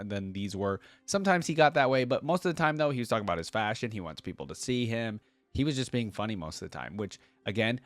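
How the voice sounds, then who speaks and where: Low-voiced man chatting casually, dry close sound. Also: no echo. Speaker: reaction video host. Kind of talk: casual commentary to viewers.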